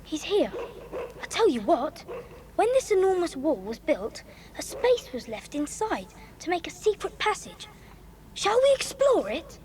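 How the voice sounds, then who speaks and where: A woman's voice: very high voice, sing-song, unhurried, a little mellow, full character voice. A woman playing an earnest, commanding young boy who leads an adventure in a children's audio drama, speaking in exclamations. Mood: intrigued. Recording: echoey reverberant hall, noisy.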